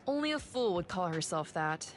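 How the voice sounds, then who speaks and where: A woman playing a confused, blunt young soldier with amnesia in a video game, halting and disdainful. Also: on the low side, big pitch swings. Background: game music.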